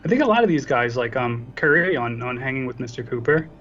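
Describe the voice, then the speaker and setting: Dude guesting on a podcast, bright punchy voice, casual, faint music behind. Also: male voice, mid-range voice, animated, fast. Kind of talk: casual chat. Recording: slightly dull lo-fi mic sound.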